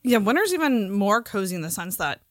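A woman's voice: mid-range voice, sing-song, brisk, no room sound, studio quality. A woman co-hosting a podcast, chatting casually in an informal, sweary way. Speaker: podcast co-host. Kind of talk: casual chat.

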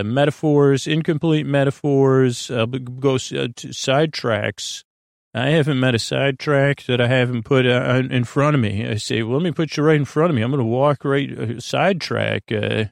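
A man with a creaky voice hosting a bedtime podcast in a soothing, lulling, meandering monologue that halts and drifts into tangents. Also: medium pitch, no echo, studio quality. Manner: meandering, halting, trailing off into tangents and repeats. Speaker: host of a bedtime story podcast. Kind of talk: rambling bedtime monologue to lull listeners to sleep.

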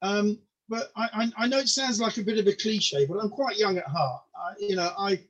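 High-voiced man in his mid-sixties, Polish first language. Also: normal speed, a bit bright, dull audio. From United Kingdom. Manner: stammering repetitions, self-deprecating. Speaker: podcast guest, comedian. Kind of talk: casual interview chat.

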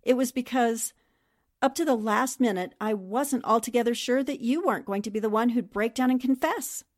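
A woman performs a self-assured newspaperwoman of 1940s radio drama. Her voice sits fairly high. She speaks in a confiding way, as one would to a trusted friend. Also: normal speed, lightly acted.

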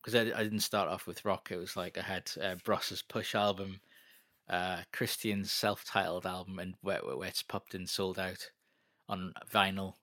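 Deep-voiced man, bright and dry. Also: steady tone, a little slow, dry recording. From North East England. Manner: self-deprecating, rambling with many fillers. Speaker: guest. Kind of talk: casual interview chat.

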